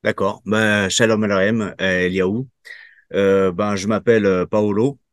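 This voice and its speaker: A man in his fifties, from Portugal, giving a testimonial in an interview and answering haltingly with many fillers and restarts. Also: lowish voice, steady tone, no echo, clean studio sound. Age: 50s. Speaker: program participant giving a testimonial.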